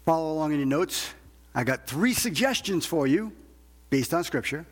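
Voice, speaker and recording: A man's voice: a little high, lively intonation, moderate pace, slightly rough. A man preaching a sermon to a congregation as a preacher, emphatic, repeating phrases and asking rhetorical questions. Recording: long hall reverb.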